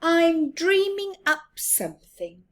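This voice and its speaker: Lady doing a tiny boy mouse from a storybook, high voice, dreamy and stubborn, kinda self-important. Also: sing-song, slow pace, full character voice. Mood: dreamy, dismissive. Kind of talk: children's storybook character.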